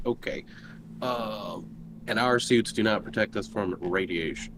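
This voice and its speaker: Guy playing a tabletop rpg, casual, lowish voice, clear noise in the background. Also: unhurried. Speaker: tabletop game player. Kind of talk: casual game chat.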